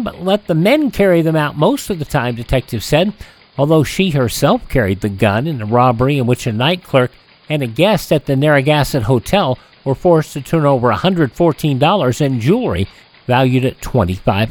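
A man hosting a classic radio show, reading old newspaper headlines in a steady newsreader delivery with small slips and self-corrections. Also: sits high.

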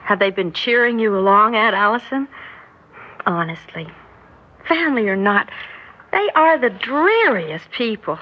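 An actress playing an eager, chatty college-age niece in a 1960s radio drama, girlish, excitable and confiding. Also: medium pitch, unhurried, long pauses. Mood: confiding, wry. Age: young adult. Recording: muffled, noisy 1960s radio recording.

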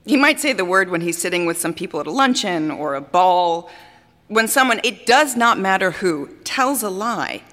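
A woman's voice: medium pitch, expressive, a bit bright, natural voice. A woman playing a grand, swooping opera diva. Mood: adoring, dramatic. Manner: grand, theatrical, deliberate delivery with dramatic pauses. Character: vain, capricious female opera singer, adoring and scornful. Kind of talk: theatrical dramatic monologue before an audience. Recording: reverberant hall stage recording.

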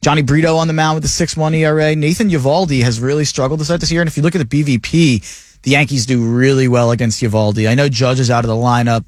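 A man hosting a sports betting radio show in a studio, rattling off his picks in a jokey, rapid-fire way. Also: medium pitch, dry recording. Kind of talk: casual sports radio talk. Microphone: studio mic.

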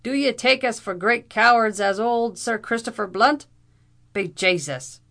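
A woman narrator plays a furious Irish captain from an old adventure tale, spoiling for a duel. He bellows with bombastic indignation, pushing loud and high, with a gravelly creak. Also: a little slow, exaggerated voice. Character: fierce, blustering Irish army captain, hot for duels.

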